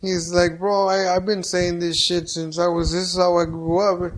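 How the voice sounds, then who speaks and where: A man's voice: high-pitched, normal speed. A man who does stand-up comedy, talking bluntly and profanely in a casual podcast chat. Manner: blunt and profane, animated storyteller. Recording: very echoey room.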